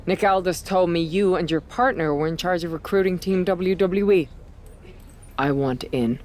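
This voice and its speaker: A woman's voice: on the low side, normal speed, a little mellow, slight background noise, lightly acted. A woman playing a brash, scheming veteran wrestler in a video game cutscene, talking in a persuasive, conversational way. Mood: teasing, pushy.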